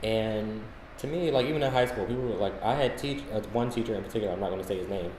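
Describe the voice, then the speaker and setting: A man's voice: fairly low pitch, quick pace, darkish tone. Guy telling stories on a podcast, chatty, roomy sound, bit of background noise. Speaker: podcast co-host. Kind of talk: casual chat, anecdotal. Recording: echoey room, faint background noise.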